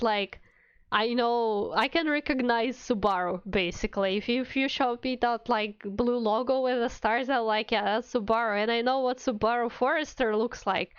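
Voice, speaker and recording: A woman's voice: a little high, clean tone, dry recording, lo-fi sound. A woman livestreamer chatting casually with viewers in a playful, mock-indignant, giggly manner. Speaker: streamer, VTuber.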